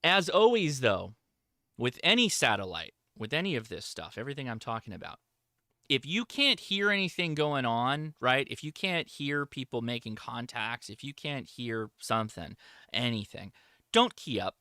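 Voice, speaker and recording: A man's voice: mid-range voice, big pitch swings, normal speed, sharp tone, no echo, clean studio sound. A man presenting a hobby lesson in a casual, explanatory way, informally stressing the key points as he goes. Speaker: presenter of an amateur radio show. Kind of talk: casual live slideshow lesson.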